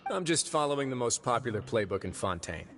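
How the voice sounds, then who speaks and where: An actor playing a composed, calculating adult male prison warden in a video game, speaking calmly with quiet wryness. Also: mid-range voice. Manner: calm, measured, quietly wry. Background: faint game music.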